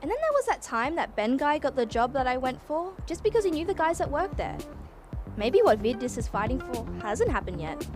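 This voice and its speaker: An actress playing a chatty, earnest young time traveller with an Australian accent, narrating a radio play in a breezy, confiding way. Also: female voice, high voice, big pitch swings, brisk, faint music behind. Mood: pensive.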